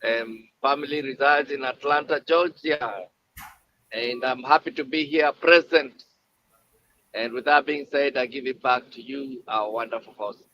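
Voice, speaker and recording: A man's voice: mid-range voice, unhurried. A man with an East African accent, speaking informally as a coach on a live show. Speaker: coach, co-presenter. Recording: dull lo-fi sound, light background noise.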